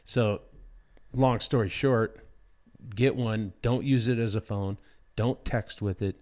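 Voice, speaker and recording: An American man chatting casually as a podcast guest, joking in a deadpan, blunt and slangy way. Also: lowish voice, slow. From United States. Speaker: podcast guest, dirt bike rider. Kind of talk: casual chat.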